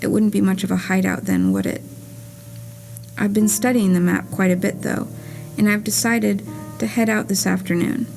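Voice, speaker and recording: A woman reading the part of a wry, anxious, lovesick traveller in a scripted puppet play, in a measured, confessional storytelling manner. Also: mid-range voice, fairly even intonation, vocal fry, darkish tone, close to an everyday voice. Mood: wry unease. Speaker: reader performing a puppet play. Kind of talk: scripted first-person storytelling, letter-like monologue. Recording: live theatre reading over guitar music.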